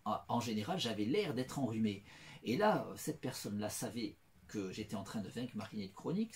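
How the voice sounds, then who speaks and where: A man's voice: medium pitch, darkish tone. A middle-aged man talking through a meandering personal monologue, full of restarts, fillers and repeated phrases. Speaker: self-styled philosopher.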